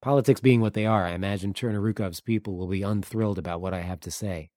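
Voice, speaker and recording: A man reads a visiting journalist in an audiobook. He sounds understated, easygoing and a little evasive, in a mellow, matter-of-fact voice recorded dry. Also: fairly low pitch, fast talker, dry recording, close to an everyday voice. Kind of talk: audiobook character dialogue.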